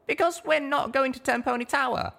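A man voicing an earnest, stubborn young female unicorn in an audiobook, high and insistent. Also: a little fast, vocal fry, bright, forceful tone, slight background noise, heavily acted. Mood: firm. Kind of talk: audiobook reading.

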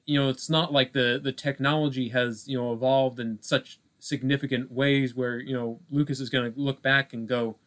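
A man's voice: medium pitch, fairly even intonation, moderate pace, few pauses, fairly bright tone. Male podcast co-host, mid-range voice, casual film chat, hesitant and discursive.

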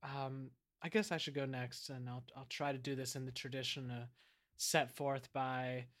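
A man's voice: medium pitch, flat delivery, fairly bright tone, lightly acted. A man playing an earnest, grandiose small-town island mayor in an improvised audio comedy, speaking with mock-solemn sincerity. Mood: solemn, grateful. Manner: halting, rambling, sincere, drawn-out words.

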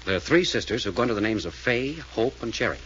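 A man playing a decisive, level-headed detective leader with an American accent in an old radio mystery, brisk and authoritative. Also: mid-range voice, expressive, fairly bright tone, lightly acted. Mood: reasoning. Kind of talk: 1940s radio mystery acting. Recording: dull, noisy old radio recording.

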